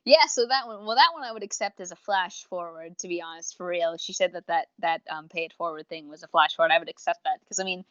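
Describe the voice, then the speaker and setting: Female podcast co-host, chatty and slangy, forward bright tone, very dry lo-fi sound. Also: lowish voice, quick pace, hardly any pauses, no room sound. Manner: rambling, talkative, slangy, acts out imagined remarks. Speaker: podcast co-host reviewing audio dramas. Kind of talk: casual chat, fan discussion.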